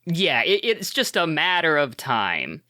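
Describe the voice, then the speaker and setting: A man talks as a player in a tabletop game. His voice is bright and animated, with a wide swing in pitch. He often stammers and restarts, and the recording is dry and close. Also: a little slow, dry recording. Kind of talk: casual tabletop play.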